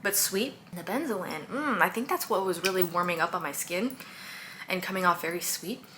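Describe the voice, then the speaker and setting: A woman's voice: on the low side, normal speed, noisy background. A woman chatting casually about perfumes she bought, gushing and listing scent notes with lots of fillers. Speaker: perfume content creator. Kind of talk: casual haul review.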